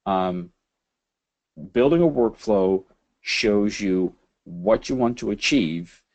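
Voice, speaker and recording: A man from the Netherlands with a Dutch accent, presenting a technical webinar over slides and speaking haltingly, with many fillers and repeated words. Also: low voice, darkish tone. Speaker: webinar presenter, systems engineering lead.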